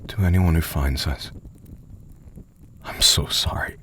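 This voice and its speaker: A man playing a guilt-ridden, haunted character who has given up hope, speaking in a halting, pleading way in an audio drama. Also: very deep voice, sharp tone, husky, heavily acted. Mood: guilty, despairing. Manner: halting, pleading, lapsing into whispers. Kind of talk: dramatic audio fiction acting.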